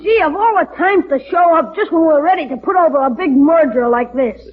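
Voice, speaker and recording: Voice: high-pitched, brisk, a little hiss or hum, natural voice. An actor playing a brash, slangy young American nephew, eager and wisecracking, in a 1940s radio comedy. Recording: tinny, narrow old radio recording.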